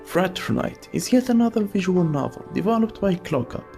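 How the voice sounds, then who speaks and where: Brief: opinionated voice-over narrator, animated delivery, some fry, soft music underneath. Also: medium pitch, normal speed. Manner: opinionated, wry asides. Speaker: video essay narrator. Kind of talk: scripted voice-over commentary.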